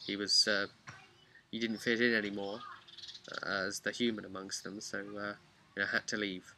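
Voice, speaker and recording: Bright, forceful male game master. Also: lowish voice, level tone, normal speed, a little hiss or hum. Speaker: game master and vlog host. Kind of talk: casual recap of a tabletop roleplay session.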